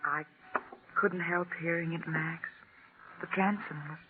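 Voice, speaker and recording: An actress playing a devoted but uneasy sweetheart of a doctor in a 1940s radio drama. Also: female voice, low voice, fairly even intonation, unhurried. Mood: sympathetic. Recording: noisy, tinny 1940s radio recording.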